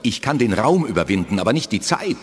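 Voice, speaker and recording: A man's voice: fairly low pitch, big pitch swings, fast talker, long reverb tail, noisy background. An actor playing an earnest, puzzled male teleporter crewman in a 1980s radio play.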